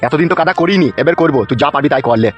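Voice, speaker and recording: A man plays a foul-mouthed cartoon husband and dad who talks at breakneck speed in a slightly high voice. He blusters and argues, defensive and exasperated. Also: very fast, highs cut off, soft background music, close to an everyday voice. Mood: exasperated quarrelling. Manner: blustering, argumentative, swearing. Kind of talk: Bengali comedy cartoon dubbing.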